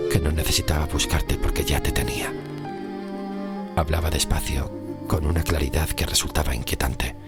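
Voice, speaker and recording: A man narrating a scripted horror story in the first person, in a deliberate, hushed delivery with dramatic pauses. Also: a bass voice. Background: music under the voice.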